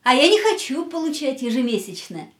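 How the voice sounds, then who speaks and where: A woman's voice: high-pitched, very animated, a little fast, fairly bright tone. An actress playing a frank, intense young woman hungry for life in a radio play, with a dramatic, searching manner. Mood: teasing, challenging.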